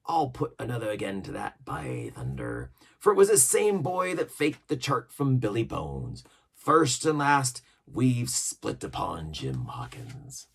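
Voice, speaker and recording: A man's voice: medium pitch, huge pitch swings, a little slow, vocal fry, husky, voice pushed up, heavily acted. A man voicing a fierce, swaggering old one-legged pirate cook who commands and threatens in a storybook reading. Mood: scornful. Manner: menacing, swaggering, uses old nautical slang. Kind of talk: storybook character voice.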